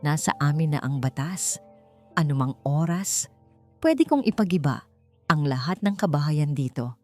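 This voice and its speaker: A cold, domineering businessman father, acted out by a woman narrator in an audiobook story. The delivery is commanding and scornful, with wide swings of pitch. Music plays softly underneath. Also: lively intonation, moderate pace. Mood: threatening. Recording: music bed behind the voice.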